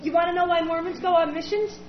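An actor playing an eager, earnest young sibling who chatters on. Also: female voice, very high-pitched, fairly even intonation, fast talker. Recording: echoey, dull recording with noise.

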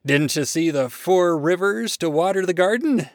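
Man putting on a grandiose, booming God. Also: expressive, normal speed, dry recording, clean studio sound. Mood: boastful. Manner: grandiose, showman-like. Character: mighty bearded God, boastful, theatrical, easily wounded. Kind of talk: short story read aloud.

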